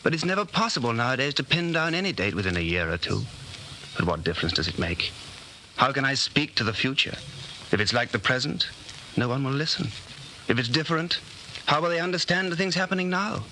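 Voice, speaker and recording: A man's voice: medium pitch, animated, brisk, sharp tone. An actor playing an anxious, secretly rebellious man of about forty in a 1940s radio drama, brooding and urgent. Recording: noisy 1940s radio broadcast recording.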